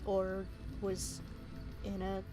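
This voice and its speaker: A woman hosts a casual gaming playthrough in a mid-pitched voice. She rambles, cuts herself off and makes jokey asides, with loud game music behind her. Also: completely flat delivery, extremely slow. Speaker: let's play host. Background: video game music and dialogue.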